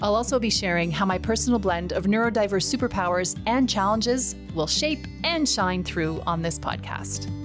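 A woman's voice: medium pitch, animated, normal speed, few pauses. Brief: middle-aged woman, slight Canadian accent, podcast host, enthusiastic, emphatic, faint music underneath. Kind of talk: scripted solo podcast monologue.

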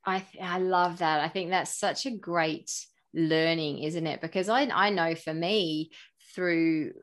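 A woman in her thirties hosting a casual interview, chatty and quick to laugh. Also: lowish voice, fairly even intonation, fairly bright tone. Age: 30s. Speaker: podcast host.

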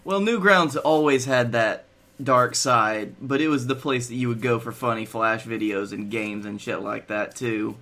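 Bright, forceful-voiced man bantering. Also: medium pitch, moderate pace. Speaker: podcast co-host. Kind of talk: casual joking banter.

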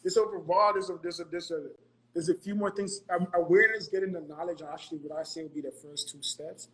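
A man's voice: fairly high pitch, fairly even intonation, fast, a bit bright, poor audio. A man from Ghana giving earnest, motivational money advice in a casual podcast, often repeating himself and checking in with "right?".

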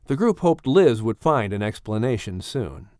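A man narrating an adventure story for children with expressive, theatrical storytelling delivery. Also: mid-range voice, moderate pace, no echo, studio quality. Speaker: audiobook narrator. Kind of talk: dramatic scripted storytelling.

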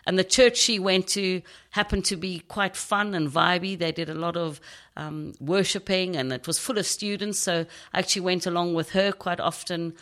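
A middle-aged woman from South Africa with a South African accent, a podcast guest telling her story thoughtfully with many pauses and ums. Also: fairly low pitch, steady tone, a bit bright. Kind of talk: casual interview conversation.

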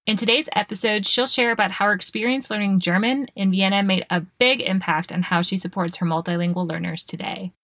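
A woman's voice: medium pitch, steady tone, fairly quick, few pauses, a bit bright, dry recording. Upbeat woman hosting a podcast. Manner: upbeat, promotional. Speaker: podcast host. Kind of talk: scripted podcast intro and interview.

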